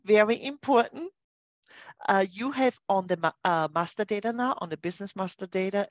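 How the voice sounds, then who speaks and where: Female software trainer, mid-pitched, conversational walkthrough delivery, dry and slightly muffled lo-fi sound. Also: no room sound. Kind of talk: informal webinar-style software demonstration. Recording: dull, dry, lo-fi voice track.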